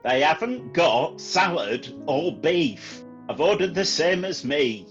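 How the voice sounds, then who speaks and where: Exasperated clergyman played by a man for radio comedy: fussy, indignant, slow and animated, dull recording. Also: fairly high pitch. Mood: weary, exasperated. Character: exasperated, aggrieved clergyman, husband and father. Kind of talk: comic radio-play acting. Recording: dull home recording, music underneath.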